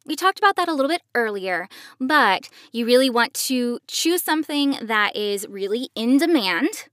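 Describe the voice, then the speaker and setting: Higher-pitched woman podcast host. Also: animated, moderate pace, fairly bright tone, no echo, studio quality. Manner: explanatory, addressing parents. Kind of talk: conversational podcast advice.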